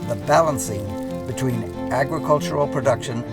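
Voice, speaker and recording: Older man narrating over loud music. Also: mid-range voice. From Costa Rica. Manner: measured, deliberate, stretches key words. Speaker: documentary narrator. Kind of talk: scripted documentary narration. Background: loud music bed.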